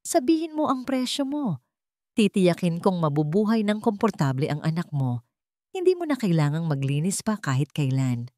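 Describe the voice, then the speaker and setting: Cold businessman dad for an audio love story, read by a woman: lowish pitch, curt, insistent. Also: big pitch swings, moderate pace, warm tone. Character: cold, impatient wealthy businessman father, pleading and commanding. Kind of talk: dramatic romance audio story.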